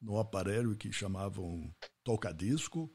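A man with a husky voice, hosting the podcast and sharing his own stories in a reflective way. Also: on the low side, expressive, measured pace, darkish tone, a little hiss or hum. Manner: reflective, anecdotal. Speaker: podcast host. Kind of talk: casual interview talk.

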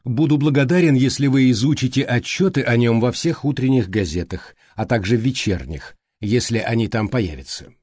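A man voicing a thoughtful, courteous, exacting male detective in an audiobook, speaking politely and deliberately. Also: mid-range voice, lively intonation, darkish tone, husky, no echo, studio quality. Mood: businesslike. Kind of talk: audiobook character reading.

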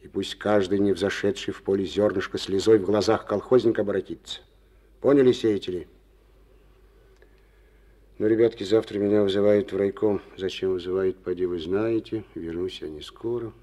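An actor as a gruff, low-voiced wounded chairman. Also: steady tone, moderate pace, dark tone, natural voice. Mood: stern. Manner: gruff and folksy, mocking then grave. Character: proud wounded middle-aged male farm chairman, war veteran. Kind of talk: 1950s Soviet radio drama acting.

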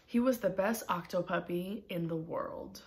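A young adult woman reading a children's picture book aloud in a deliberate, expressive storytelling manner. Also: on the low side, slight background noise. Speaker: storybook reader. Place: small room at home, indoors.

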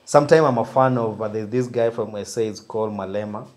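A man's voice: fairly low pitch, fast talker, darkish tone. A man in his thirties with a Kenyan accent, hosting a casual studio interview on a lapel mic and keeping up eager praise. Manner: enthusiastic, repeats praise words. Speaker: talk show host. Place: small indoor studio.

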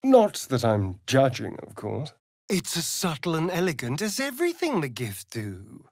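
Vain, flirtatious British vampire rogue for a fantasy game: slow, arch delivery with big swoops in pitch. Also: male voice, wildly swooping pitch, darkish tone, dry recording, clean studio sound, heavily acted. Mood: dry, disdainful. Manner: arch, theatrical, drawling, mocking. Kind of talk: theatrical video game character acting.

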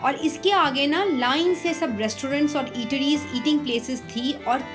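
Very high-pitched Indian woman vlogger. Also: normal speed. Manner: enthusiastic, chatty. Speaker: travel vlogger. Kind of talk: casual vlog chat mixing Hindi and English. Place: outdoor city market street. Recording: music and bustling outdoor crowd behind.

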